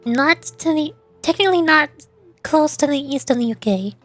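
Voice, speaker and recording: A woman's voice: sits high, expressive, moderate pace, fairly bright tone. A woman streamer chatting casually with viewers, thinking aloud hesitantly, stammering over repeated words and putting herself down a little. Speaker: streamer, VTuber. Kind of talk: casual livestream chat with viewers. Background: background music.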